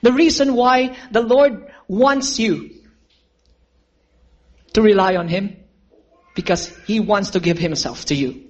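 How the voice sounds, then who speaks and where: A man's voice: high-pitched, takes it slowly, pauses often, highs cut off. A man preaching a sermon, telling a story and calling his listeners friends. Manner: earnest, addresses listeners as friends, asks for amens.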